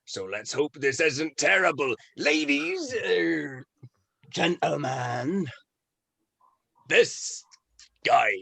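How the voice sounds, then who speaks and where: A man's voice: a little high, big pitch swings, very slow, vocal fry, long pauses, pushed and bright, slightly rough, no echo, exaggerated voice. A man playing a brash, theatrical, exclamatory character, an old pirate type, in a comedy script read-through. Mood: bellowing, showman.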